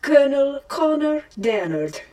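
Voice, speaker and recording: A woman playing a formal mission-log assistant in an audio drama, making measured, procedural announcements. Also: sits high, sing-song, warm tone, far from the mic. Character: formal female mission-log assistant of a time-travel force.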